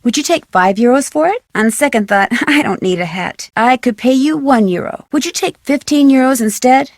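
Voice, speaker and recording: A woman playing a polite, curious young American detective in a video game, speaking short scripted lines in a clear, upbeat way. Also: a little high, no echo, studio quality. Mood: matter-of-fact, bargaining. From United States. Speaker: video game character voice actor.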